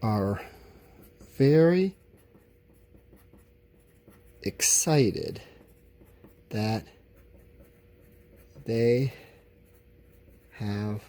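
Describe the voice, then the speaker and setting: A man's voice: fairly low pitch, lively intonation, very slow, long pauses, warm tone. A man teaching a recorded lesson, talking informally to his students and explaining things in a candid, conversational way.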